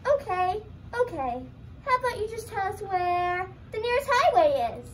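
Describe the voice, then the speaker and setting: Young woman acting comic puppet character, very high voice, lively swings, faint background noise. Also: normal speed, rough recording. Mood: puzzled, exasperated. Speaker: student puppeteer. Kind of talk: scripted puppet show acting.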